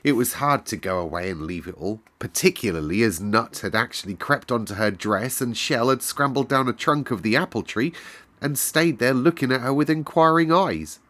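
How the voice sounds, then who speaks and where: A man's voice: medium pitch, expressive, normal speed, a bit bright. A man narrating a classic children's novel as a read-aloud storyteller.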